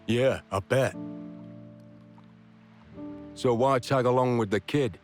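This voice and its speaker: An actor playing a gruff, laconic sceptic in a video game, offhand and dry in his delivery. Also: medium pitch, slow, soft background music, lightly acted. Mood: dry, sceptical. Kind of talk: video game cutscene acting.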